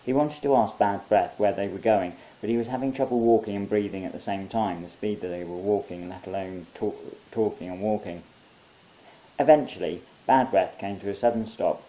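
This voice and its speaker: A man's voice: on the low side, normal speed, mellow, rough recording. A man narrating his own short story as an author reading from a script.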